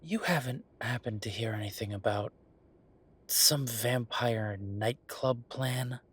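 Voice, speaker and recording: A man's voice: mid-range voice, takes it slowly, a little hiss or hum, natural voice. A man playing a wry, affectionate werewolf bureaucrat in an intimate roleplay audio drama, talking in a casual, teasing, conversational way. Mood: wry, concerned.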